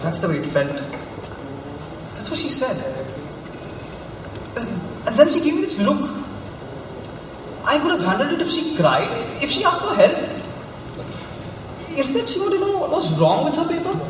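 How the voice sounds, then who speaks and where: A man on stage playing an earnest, flustered writing teaching assistant who explains things haltingly. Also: very high voice, huge pitch swings, full character voice. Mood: troubled, defensive. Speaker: stage actor in a college play. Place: stage in an echoey hall, recorded from the audience. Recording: roomy hall from far off, loud hiss.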